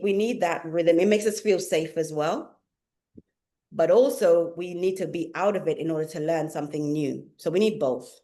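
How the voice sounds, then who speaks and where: A woman with a Jamaican accent who lives in England, a spiritual teacher talking as a guest in a casual conversation, playful and quick to laugh. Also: lowish voice. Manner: laughs often, playful and animated.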